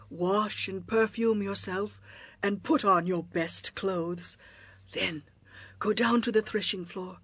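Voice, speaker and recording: An actress playing a wise older mother-in-law in a dramatized scripture reading, husky and measured, gently giving direction. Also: medium pitch, no echo, close to an everyday voice.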